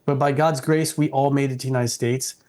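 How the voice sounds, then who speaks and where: Casting: middle-aged Vietnamese-born man, earnest interview guest with a level, flat tone. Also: mid-range voice, a little fast. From South Vietnam. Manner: earnest, respectful, frequent restarts.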